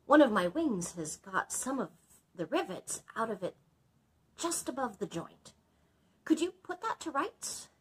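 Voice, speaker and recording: Lady doing a polite bossy boy dragon for a storybook, emphatic, kinda husky, pitch all over. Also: big pitch swings, a little slow, heavily acted. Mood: eager, coaxing. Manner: emphatic, courteous, commanding. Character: polite, bossy male iron dragon in need of repairs.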